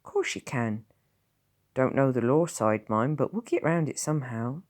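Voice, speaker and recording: Woman reading as a blunt, kindly old countryman; low, animated, plain-spoken. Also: unhurried. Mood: gruff, plain. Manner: blunt rural dialect, calm.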